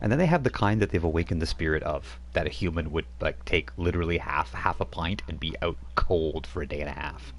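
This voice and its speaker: A man's voice: low voice, fairly quick, few pauses, slight background noise. A man running a tabletop role-playing game over voice chat, narrating loosely with many fillers and restarts. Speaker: game master.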